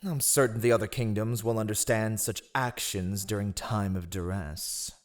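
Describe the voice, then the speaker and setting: A man's voice: lowish voice, steady tone, moderate pace, close to an everyday voice. A man acting a cunning, ruthless royal spymaster in a fantasy audio roleplay, with a sly, mocking, theatrically deferential manner. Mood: cold, dismissive.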